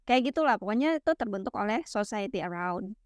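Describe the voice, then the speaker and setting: A young woman from West Sumatra explaining ideas in a relaxed, teacherly chat, full of fillers and tag questions. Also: medium pitch, brisk, clear, resonant tone, dry recording, clean studio sound. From West Sumatra, Minangkabau. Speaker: guest explaining literary theory.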